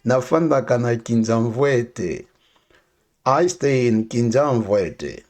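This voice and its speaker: A man teaching English phrases, saying each one twice, slowly and clearly, for learners to repeat. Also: medium pitch, animated, a little mellow. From Democratic Republic of the Congo. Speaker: language teacher. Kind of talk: casual spoken language lesson with repeated phrases.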